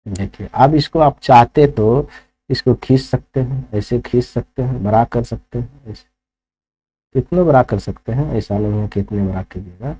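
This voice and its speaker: A man's voice: medium pitch, moderate pace, dark tone, low-quality recording. A man teaching maths step by step, instructing and often checking understanding with rhetorical questions. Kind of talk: classroom lesson, working problems step by step.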